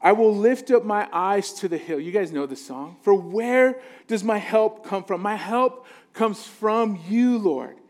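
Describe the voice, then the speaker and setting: A man with a high-pitched voice preaches to a congregation. He keeps it informal and conversational, with joking asides, and often turns to the listeners for agreement like a pastor at ease in casual speech. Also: unhurried.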